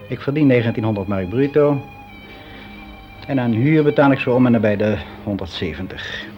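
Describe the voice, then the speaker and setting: A man's voice: mid-range voice, lightly acted. Actor as musing older man, dull lo-fi recording. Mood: matter-of-fact, candid. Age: older, about sixty. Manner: musing, halting, candid, with drawn-out phrases. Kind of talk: confessional radio drama monologue, conversational and hesitant. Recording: dull lo-fi radio play recording.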